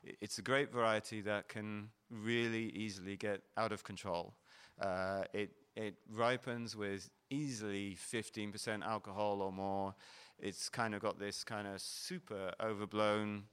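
A man with a lowish voice talks through a wine tasting with steady, even intonation. His tone is smooth and resonant, and he describes each wine in a patient, explanatory manner. He sounds like a middle-aged host at a fair. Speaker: panel host. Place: event hall at a wine fair.

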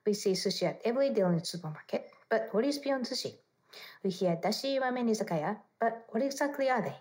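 A woman's voice: fairly high pitch, expressive, brisk, darkish tone. A woman presenting as a podcast host, moving between a prepared introduction and conversational interview questions. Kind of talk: radio interview with scripted introduction.

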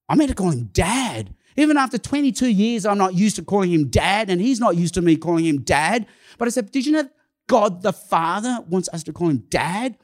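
Middle-aged pastor, Cantonese first language, high voice, animated sermon storyteller. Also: male voice. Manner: animated, acts out dialogue with rising emphasis.

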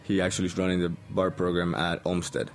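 A man's voice: deep voice, flat delivery, brisk, gravelly, soft background music. A young man from Colombia with a Colombian Spanish accent, a bartender guest chatting hesitantly with many ums. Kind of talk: casual interview chat.

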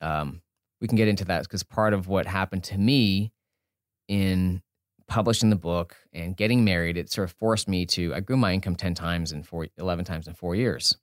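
A man with a fairly low voice speaks casually as an interview guest. He thinks out loud, often breaking off a sentence to rephrase it. The sound is dry and close, with very little room. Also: moderate pace, no echo. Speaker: podcast guest, entrepreneur and author.

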